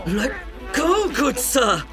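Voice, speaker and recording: A man's voice: very high-pitched, sing-song, takes it slowly, over music, heavily acted. An actor playing an earnest sixteen-year-old squire and future king with archaic, courtly speech. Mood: challenging.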